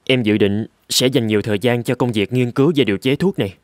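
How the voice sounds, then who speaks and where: A man's voice: medium pitch, fast, dry recording, clean studio sound, close to an everyday voice. A man voicing an earnest, idealistic young medical student in a cartoon. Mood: polite, resolute. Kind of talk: cartoon dubbing.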